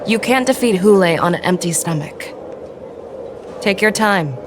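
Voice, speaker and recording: An actress voicing a calm, commanding woman general who speaks with gentle teasing. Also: mid-range voice, a little slow, noisy background, lightly acted. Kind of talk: game voice acting.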